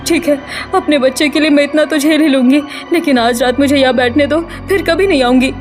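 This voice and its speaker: A woman voicing a gentle, anxious young village wife in a cartoon, earnest and pleading. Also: high-pitched, fairly quick, soft background music, close to an everyday voice. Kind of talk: Hindi cartoon character voice.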